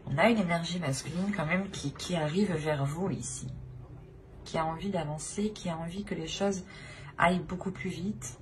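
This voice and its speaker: Low-voiced woman reading tarot, noisy recording. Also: normal speed. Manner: hesitant, repetitive phrasing, talking directly to the listener. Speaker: tarot reader. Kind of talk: improvised tarot card reading to viewers. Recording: clear background noise.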